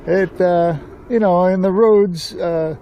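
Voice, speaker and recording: A man's voice: sits high, steady tone, unhurried, highs cut off, slight background noise. An older American man telling stories from his past in a casual, rambling, folksy way. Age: older, 60s or above. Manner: rambling, folksy, chuckling asides. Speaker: storytelling vlog host. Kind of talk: casual reminiscing storytelling.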